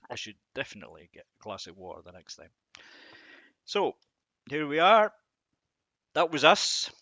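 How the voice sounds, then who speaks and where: A man giving an informal devotional commentary on verses, musing and halting, with self-deprecating asides. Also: fairly high pitch, big pitch swings, pushed and bright, no echo, muffled recording. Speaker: host of a Bible reading series.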